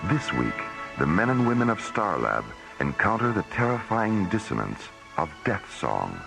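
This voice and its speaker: A man narrating a science-fiction radio drama as a solemn announcer, in a portentous, measured delivery. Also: on the low side, huge pitch swings, gravelly, exaggerated voice. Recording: radio drama with music underneath.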